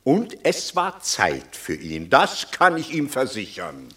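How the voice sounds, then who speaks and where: A boisterous old Roman nobleman in classical radio drama. His mid-pitched voice swings widely as he mocks and gushes with blunt, theatrical wit. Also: huge pitch swings, takes it slowly, a bit bright, exaggerated voice. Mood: eager curiosity. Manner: mocking, theatrical, effusive.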